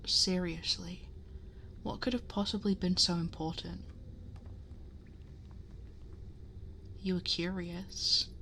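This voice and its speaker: A woman playing a protective, possessive dragon girl in an ASMR roleplay, speaking softly and breathily, often in whispers, in an intimate, gently scolding and fussing way. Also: fairly low pitch, slight background noise, exaggerated voice. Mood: scolding, exasperated. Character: possessive, worried, protective female dragon girl guarding her hoard. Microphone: close binaural microphone.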